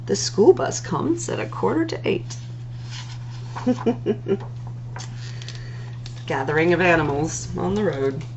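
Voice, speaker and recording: A woman hosts a children's storytime, reading rhyming stories with a singsong lilt and stretched-out words. Her pitch swings widely and her voice has a gravelly creak. There is a faint hum and light noise in the recording. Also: muffled recording. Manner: expressive, singsong delivery with drawn-out words. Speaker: library storytime host. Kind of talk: animated read-aloud of rhyming picture books.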